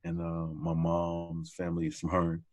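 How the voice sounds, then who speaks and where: A man in his forties with a very low voice. He talks in a casual, humble way and keeps calling people "man". He sounds like a guest on a relaxed podcast. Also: moderate pace. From Texas. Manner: warm, humble, says "man" often. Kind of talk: casual conversation.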